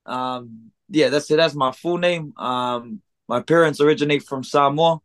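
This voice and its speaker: A man in his twenties with a New Zealand accent, a podcast guest talking casually and haltingly with many ums, recorded in a music studio. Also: on the high side. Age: 20s. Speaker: guest, gym co-founder. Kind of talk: casual interview chat.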